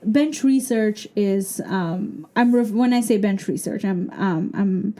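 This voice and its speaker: A woman's voice: mid-range voice, slow, mellow. A woman from Bangladesh with a Bangladeshi accent, a PhD student explaining her research thoughtfully with many fillers in an interview. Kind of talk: interview answer.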